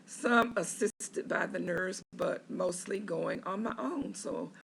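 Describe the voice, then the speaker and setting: A patient recording a casual video diary from a hospital bed, hoarse and raspy after neck surgery, talking in a slow, halting way with many filler pauses. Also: mid-range voice, a bit bright, a little hiss or hum.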